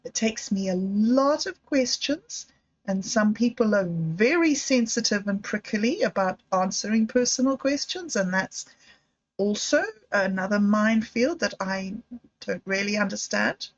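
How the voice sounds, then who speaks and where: A middle-aged woman speaks slowly and haltingly to her livestream viewers, often restarting her sentences. Her voice sits at an ordinary middle pitch. The sound is dull and lo-fi, like a basic computer mic. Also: expressive. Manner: halting, reflective, with many restarts and apologies. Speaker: livestream host. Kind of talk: rambling personal livestream talk.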